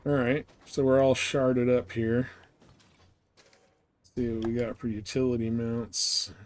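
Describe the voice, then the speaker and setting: A man with a mid-pitched voice talks casually on a gaming livestream. He rambles and thinks out loud as he plays, in the loose, conversational way of someone chatting to viewers. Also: little pitch movement, measured pace. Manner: rambling, thinking aloud while playing. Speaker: video game livestreamer. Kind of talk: casual gaming stream chat.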